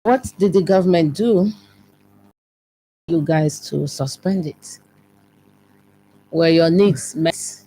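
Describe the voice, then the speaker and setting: An interviewer who asks quick, probing questions and fills the pauses with "okay". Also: medium pitch, warm tone, a little hiss or hum, poor audio. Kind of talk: radio-TV interview.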